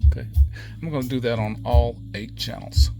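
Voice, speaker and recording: A man giving a casual hands-on technical tutorial in a methodical, matter-of-fact way, pausing as he goes. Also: mid-range voice, creaky, music in the background. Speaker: presenter demonstrating equipment calibration.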